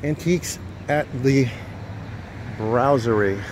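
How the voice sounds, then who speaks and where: Man vlogging over loud outdoor noise. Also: mid-range voice, slow pace. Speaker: vlogger, explorer. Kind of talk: casual off-the-cuff vlog narration. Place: outdoors by a roadside building. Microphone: handheld camera phone.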